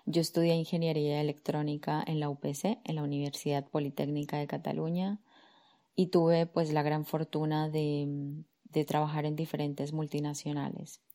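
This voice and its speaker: A woman, speaking as a career coach on her podcast. Her voice sits low and warm, and her intonation stays quite even. She talks calmly and reflectively, soft fillers as she gathers her thoughts. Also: moderate pace. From Colombia. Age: 30s. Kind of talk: personal storytelling monologue.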